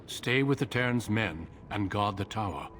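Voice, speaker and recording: A grave, low-voiced warrior leader. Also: male voice, animated, a bit bright, slight background noise. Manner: grave, measured, formal. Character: older male warrior leader, calm and grave. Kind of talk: video game voice acting.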